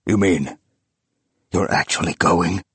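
A man's voice: low-pitched, slow pace, slightly rough, no echo, full character voice. A man putting on a fierce feverish old tycoon. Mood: urgent. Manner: fierce, rapturous and commanding. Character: feverish, imperious old male book collector.

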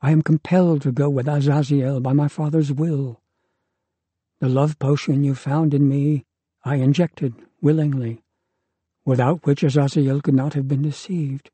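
A man voicing a devoted young woman, reading her lines in an earnest, measured way. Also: fairly high pitch, fairly even intonation, dark tone, slightly rough, dry recording.

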